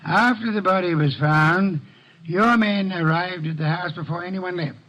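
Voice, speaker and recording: An actor playing a shrewd, unruffled older detective in an old radio drama, speaking formally and with dry amusement. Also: male voice, sits high, fairly bright tone. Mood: grave, courteous. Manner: formal, measured, drily amused. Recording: muffled old radio broadcast recording.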